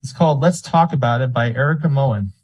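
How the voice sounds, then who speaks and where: A man's voice: mid-range voice, a little fast, a little mellow. A man speaking as a member of the public at a meeting, argumentative and indignant, making his case in a public comment. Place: school board meeting room.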